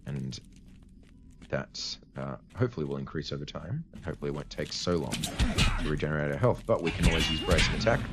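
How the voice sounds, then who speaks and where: Very deep-voiced man with a tired voice. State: tired voice after a cold, cutting out at times. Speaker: let's play host. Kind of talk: casual gameplay commentary. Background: game music.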